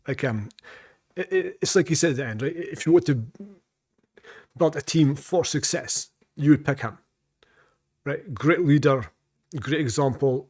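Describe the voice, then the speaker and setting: A man's voice: mid-range voice, a little mellow, slightly rough. Rambling Scottish man, casual reaction talk. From Scotland. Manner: rambling and repetitive, with frequent fillers and profanity. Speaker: reaction vlogger.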